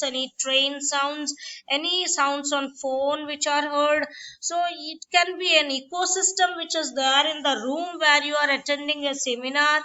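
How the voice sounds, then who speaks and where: A woman's voice: high voice, bright, forceful tone. A woman lecturer explaining points in a classroom lecture, repeating herself with fillers. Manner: repetitive explanatory delivery with fillers. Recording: dull lo-fi recording.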